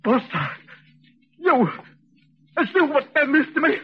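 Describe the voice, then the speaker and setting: A muffled, hissy 1940s radio recording carries a very high, strained male voice. It is a sick, frightened convict with rough grammar, suspicious and pleading, swinging widely in pitch. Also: sing-song, unhurried, full character voice. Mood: raving, furious. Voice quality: coughing, agitated voice. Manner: uneducated working-class grammar, pleading.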